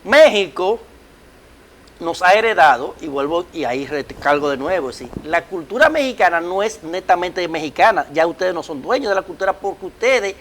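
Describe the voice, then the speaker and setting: A middle-aged Dominican man with a Dominican Spanish accent hosts a talk show, speaking in a high voice. He is animated and emphatic and often cuts in on his guests. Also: moderate pace. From Dominican Republic. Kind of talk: conversational interview.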